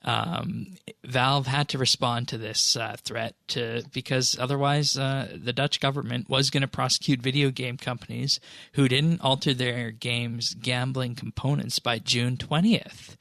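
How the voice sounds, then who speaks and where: A man's voice: mid-range voice, steady tone, a little slow, creaky, a bit bright, dry recording, studio quality. A man hosting a gaming podcast, running through news items in a casual, conversational way. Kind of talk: casual news rundown and chat.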